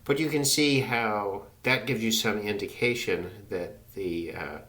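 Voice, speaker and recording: A man's voice: fairly low pitch, a little slow. A man giving a casual hands-on demonstration as a radio hobbyist. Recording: loud radio static and hiss behind voice. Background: shortwave receiver static and interference.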